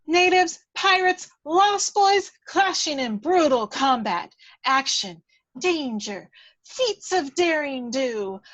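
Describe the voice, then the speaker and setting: A feisty little fairy, played by a woman with a high, lifted voice. She is bold and theatrical, leaning hard on her words as she scolds and goads in a dramatic read-through. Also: expressive, slow pace, fairly bright tone, highs cut off, full character voice. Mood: urgent persuasion. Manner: emphatic, goading, theatrical.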